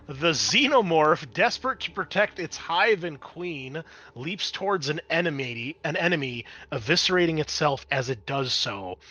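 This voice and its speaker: A man's voice: a little high, sharp tone, no room sound, dull audio. A man running a tabletop roleplaying game as game master, switching between dramatic scene-setting and casual rules talk.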